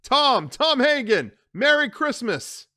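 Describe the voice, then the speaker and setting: A man voicing a smooth, menacing male gangster who pushes people around. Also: very high-pitched, big pitch swings, slow pace, sharp tone, no echo, clean studio sound, voice pushed up, full character voice.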